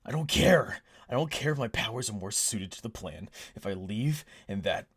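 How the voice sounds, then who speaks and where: Man acting a husky, halting, anguished hero. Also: lowish voice, animated. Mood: desperate, insistent. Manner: halting, earnest, sighing. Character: anguished male superhero, stubborn and devoted to a sidekick. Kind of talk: ASMR audio roleplay drama.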